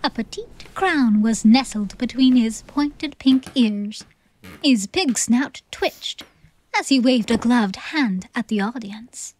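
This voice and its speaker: A woman's voice: a little high, slow pace, darkish tone, rough recording. A woman reading scripted, dramatic audiobook narration. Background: music.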